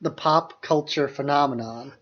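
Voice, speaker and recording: A man's voice: a little high, normal speed, fairly bright tone. A man co-hosting a podcast, chatting casually about films in very informal speech peppered with "like". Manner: informal, leans heavily on "like". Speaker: podcast co-host. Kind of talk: casual film chat. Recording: dull, lo-fi podcast audio.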